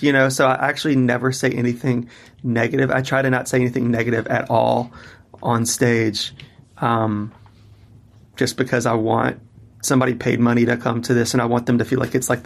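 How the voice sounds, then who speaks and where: Man, 30s-40s, musician guest, casual and rambly, flat intonation. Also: mid-range voice. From Mississippi, US. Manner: loose and chatty, many fillers and restarts. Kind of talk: casual interview conversation.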